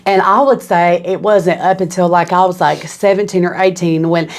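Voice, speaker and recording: A woman's voice: lowish voice, fairly even intonation, normal speed. A young woman from southeastern Kentucky with a Kentucky accent, a guest on a podcast who talks casually with lots of "you know what I'm saying". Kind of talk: casual interview.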